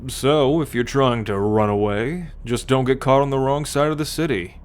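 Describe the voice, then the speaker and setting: A man playing a smug, teasing, haughty alien soldier and scout in an ASMR roleplay, talking straight to the listener with deliberate condescension. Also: medium pitch, smooth, lightly acted. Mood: cold, threatening. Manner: slow, deliberate, smugly condescending.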